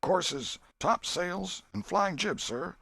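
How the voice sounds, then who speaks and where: A man voicing an eager adolescent boy playing a dutiful pirate crewman in an audiobook, with crisp nautical replies. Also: high-pitched, animated, slow, a bit bright, no room sound, studio quality, pitched above the performer's own voice, exaggerated voice. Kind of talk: audiobook character acting.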